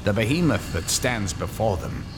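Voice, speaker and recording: A man's voice: fairly low pitch, big pitch swings, moderate pace, noisy background. A man playing the narrator of a radio horror drama, grave and suspenseful in his dramatic scripted delivery. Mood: ominous.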